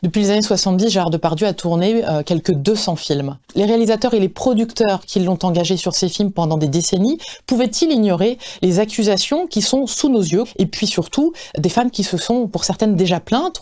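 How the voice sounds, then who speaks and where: A woman journalist explaining an investigation in a measured way with occasional fillers. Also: medium pitch, a little mellow. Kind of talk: interview explanation.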